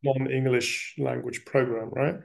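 Middle-aged man with a very gravelly, creaky voice. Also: mid-range voice, flat delivery, measured pace. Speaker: interviewee sharing family history. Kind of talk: reflective personal storytelling in casual conversation.

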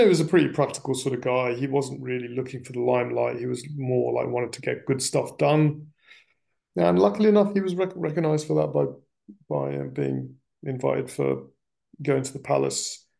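A middle-aged man speaks at an ordinary pitch with heavy vocal fry running through his voice. He talks as an interviewee reflecting on family history, in a casual, discursive way with halting phrases. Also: darkish tone. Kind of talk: reflective personal storytelling in casual conversation.

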